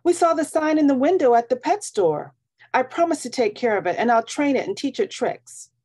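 A woman's voice: sits high, animated, fairly quick, a little mellow, slightly rough, voice pushed up. A woman voicing an eager, pleading young girl in a children's storybook. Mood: excited pleading. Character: excited pleading young girl who wants a dog.

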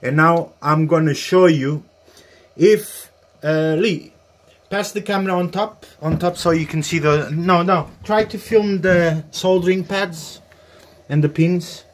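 A man giving an informal hands-on demonstration as a tutorial host, speaking in a halting, hesitant way. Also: on the high side. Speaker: host of a hobby radio tutorial. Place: small indoor workbench area.

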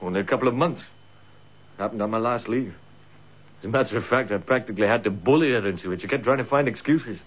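A man's voice: fairly low pitch, quick pace, slightly rough. A man playing a tough, protective army instructor in a radio drama, blunt and forthright. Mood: bewildered. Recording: dull lo-fi radio drama recording.

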